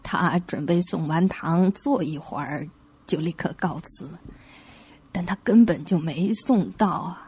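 Woman acting a slow, husky grieving sister. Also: lowish voice, a little mellow. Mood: sorrowful, uneasy. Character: grieving woman who lost her twin sister. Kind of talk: audio suspense drama acting.

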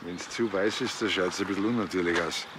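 A man's voice: deep voice, brisk, reverberant, background noise, lightly acted. An actor playing a grumbling, self-important village policeman with a blunt Bavarian way of speaking. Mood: dry, casual. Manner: blunt, colloquial.

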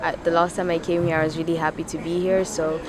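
A woman's voice: sits low, little pitch movement, quick pace. A young woman, a junior tennis player, answering interview questions casually, with frequent fillers. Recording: crowd noise behind.

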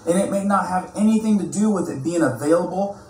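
A man's voice: high-pitched, fast talker, a little mellow, roomy sound. A man in his thirties recording an urgent vlog from inside a parked vehicle, talking close to his phone with emphatic, repeated warnings. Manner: alarmed, emphatic, repetitive warnings. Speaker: prepping vlogger. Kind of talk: urgent news-style vlog.

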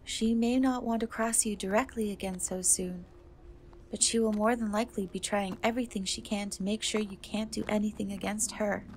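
A woman voicing a kind, timid half-cat young woman in a soft, hesitant fantasy ASMR roleplay. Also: mid-range voice, natural voice. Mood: reassuring. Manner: hesitant, soft-spoken, often slipping into whisper. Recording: noticeable background noise and ambience.

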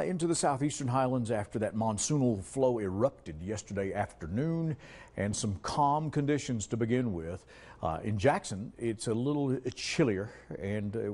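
A man's voice: lowish voice, dark tone, slightly rough. An American man in his forties presenting an ad-libbed TV weather forecast in a conversational way, with folksy asides. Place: TV studio.

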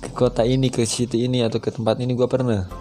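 A man's voice: medium pitch, flat delivery, fast talker, warm tone. A young man with an Indonesian accent giving casual, slangy gameplay commentary into a headset mic. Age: young adult. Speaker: gaming YouTuber. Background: game music.

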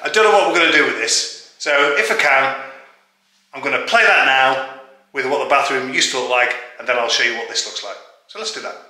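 A man's voice: medium pitch, fairly quick, sharp tone, distant mic. A man, chatting cheerily to a handheld camera in a tiled bathroom as a renovation vlogger. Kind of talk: casual chat to camera. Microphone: handheld camera at arm's length. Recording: echoey tiled room, faint music.

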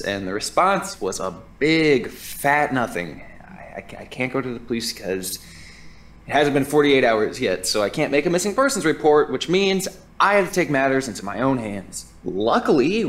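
Casting: male actor as self-important synth player hosting a podcast investigation, mid-pitched, earnest and fussy, audio drama. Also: lightly acted. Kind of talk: scripted audio drama monologue.

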